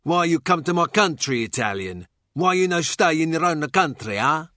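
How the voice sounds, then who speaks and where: A man voicing a scrawny, bitter court fool with a shrill London voice, mocking and taunting, in an audiobook. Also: animated, normal speed, bright, forceful tone, dry recording, clean studio sound. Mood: mock-foreign taunting. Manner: mocking, jeering.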